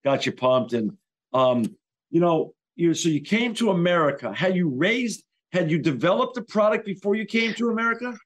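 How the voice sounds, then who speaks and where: A man's voice: high voice, lively intonation, normal speed. A sixty-year-old man hosting a podcast interview over a video call, enthusiastic and repeating words as he asks questions. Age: 60s. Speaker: podcast host. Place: home office.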